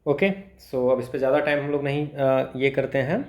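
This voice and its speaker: A man's voice: mid-range voice, flat delivery, moderate pace, a little mellow. An Indian man teaching maths informally, explaining step by step, switching between Hindi and English with frequent check-in tags. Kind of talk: informal exam lesson, explaining worked equations step by step. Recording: echoey, roomy sound.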